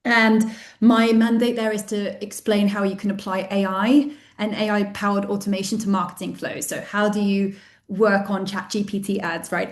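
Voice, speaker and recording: A middle-aged woman from the UK with a British accent, a marketing director introducing herself on a webinar, fluent with frequent ums. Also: medium pitch. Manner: fluent, uses many ums. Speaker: marketing director and guest expert.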